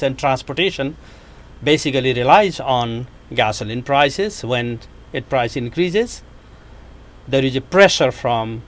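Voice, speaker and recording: Slow, measured man. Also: medium pitch. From Nepal. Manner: measured, hesitant, with frequent pauses and fillers. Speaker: economics professor, guest. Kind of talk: studio interview answers. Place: university TV studio.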